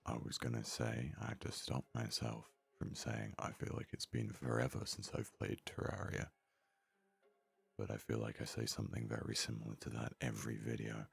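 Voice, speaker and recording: A man softly narrating an ASMR video game let's play, rambling and thinking aloud, close to a sensitive microphone. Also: rumbling low, creaky, husky. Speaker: gaming video host. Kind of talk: whispery soft ASMR let's play commentary. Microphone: close, highly sensitive microphone.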